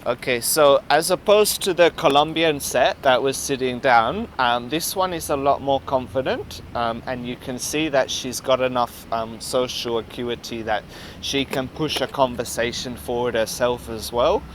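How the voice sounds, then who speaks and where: A young Australian man talks in an easy, laid-back way and fills his sentences with ums. His voice sits at an ordinary middle pitch. Traffic and city noise run clearly behind him outdoors. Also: normal speed. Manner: laid-back, laughs often, many ums. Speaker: dating coach. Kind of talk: casual street chat and voice-over commentary.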